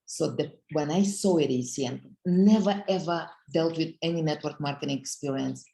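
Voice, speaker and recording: A woman's voice: low-pitched, moderate pace, vocal fry, warm tone. A middle-aged woman with a Russian accent gives a heartfelt motivational talk on a video call, speaking earnestly and addressing her audience directly.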